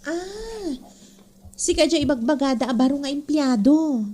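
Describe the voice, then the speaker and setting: A woman's voice: sits high, lively intonation, a little slow, mellow. A woman playing a chatty, friendly office worker in a comedy drama, with a Filipino Ilocano accent. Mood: curious, friendly.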